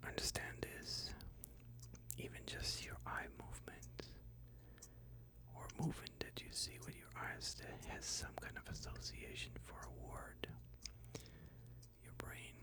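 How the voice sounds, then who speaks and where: Very slow whispered ASMR hypnosis voice. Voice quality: whispered, breathy. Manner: soothing, deliberate, phrase by phrase. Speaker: host, hypnotist.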